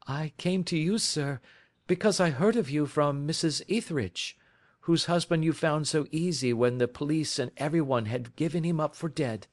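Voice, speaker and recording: A man's voice: on the high side, moderate pace, voice pushed up, natural voice. A man reading aloud, voicing a flustered, earnest young woman who talks eagerly about her troubles.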